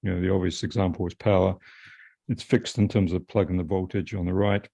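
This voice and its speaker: Deep, mellow-voiced man thinking aloud. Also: fairly even intonation, a little fast, creaky, no room sound. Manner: rambling, hesitant, many fillers like "basically". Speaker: panelist, systems architect. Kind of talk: thinking-aloud panel talk.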